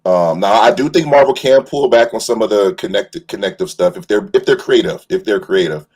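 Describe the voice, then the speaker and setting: A man chatting casually as a co-host, in an animated, slangy way, often repeating and rephrasing himself. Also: fairly low pitch, fairly quick, husky. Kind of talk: casual fan chat about films and series.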